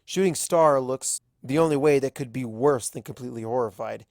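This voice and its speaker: A man's voice: mid-range voice, brisk, a little mellow, no echo, studio quality. A man reading a story aloud as a first-person narrator, in scripted narration. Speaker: fan fiction reader.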